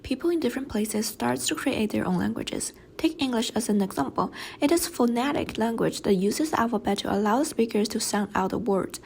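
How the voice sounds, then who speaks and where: Young woman, Chinese native speaker, student presentation, measured reading, a bit high, slight vocal fry, faint background hiss. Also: expressive. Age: young adult. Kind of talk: read class presentation narration.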